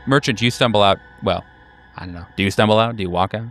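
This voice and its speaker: A man's voice: fairly low pitch, quick pace, fairly bright tone, slight background noise. A man improvising narration as game master, casual and hesitant, rephrasing as he goes.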